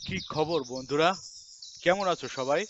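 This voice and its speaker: Casting: man playing a chummy, eager schooldog for a cartoon, slightly high voice, dull sound with clear noise. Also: normal speed, close to an everyday voice. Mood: friendly, eager. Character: friendly, eager talking dog pupil. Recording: dull audio with background noise.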